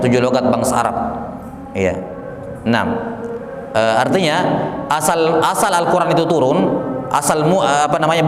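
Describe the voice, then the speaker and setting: A man teaching a religious lesson, explaining patiently with fillers and repeats and mixing in Arabic phrases. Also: mid-range voice. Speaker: Islamic studies teacher. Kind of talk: religious lesson on a classical text. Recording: echoey hall with faint background noise.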